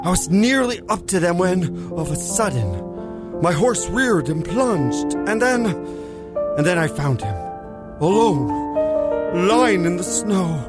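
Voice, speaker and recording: A woman playing a shaken country doctor in an audio drama, grave and halting as bad news is told. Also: on the low side, sing-song. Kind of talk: melodramatic literary audio drama acting. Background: dramatic music.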